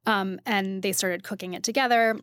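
A woman's voice: medium pitch, steady tone, a bit bright, no room sound. A woman being interviewed in casual conversation, often interrupting herself and starting over, leaning on "like" as she thinks aloud. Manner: halting, self-interrupting, frequent "like". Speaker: restaurant critic, interview guest.

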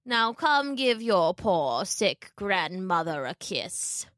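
A woman plays a hungry male wolf disguised as a frail grandmother in a storybook. He speaks slowly and with false sweetness. The pitch leaps high and dips low, and the recording is dry. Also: sing-song, fairly bright tone, dry recording. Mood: sickly sweet. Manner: slow, put-on quavering sweetness.

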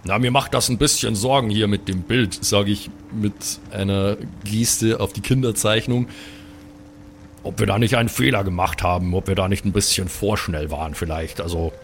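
A man's voice: fairly low pitch, steady tone, normal speed, a little hiss or hum. A man improvising in character in a role-play audio drama, musing in a hesitant, mock-earnest way. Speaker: role-play podcast player.

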